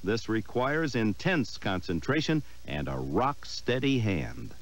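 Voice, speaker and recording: A man's voice: lowish voice, big pitch swings, takes it slowly. A man reading scripted narration for a sports newsreel.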